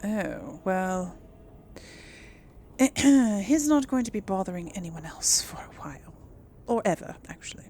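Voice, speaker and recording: A woman's voice: mid-range voice, sing-song, unhurried, pauses often, darkish tone, a little hiss or hum. A woman hosting a casual let's play, talking in an informal, hesitant, chatty way. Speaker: gaming video host. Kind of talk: casual let's play commentary with role-played replies. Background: video game ambience.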